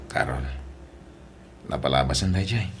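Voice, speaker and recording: A man's voice: rumbling low, lively intonation, unhurried, heavily acted. A man playing a firm, dutiful eldest brother in an audio drama, speaking earnestly and emphatically. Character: firm, dutiful eldest son scolding younger siblings. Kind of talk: Ilocano audio drama acting. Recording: clearly noisy background.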